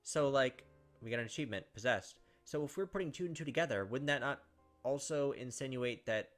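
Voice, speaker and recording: A man's voice: medium pitch, brisk, a bit bright. A man hosting a gameplay video, reading visual novel narration aloud and commenting casually. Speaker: gameplay host. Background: game music.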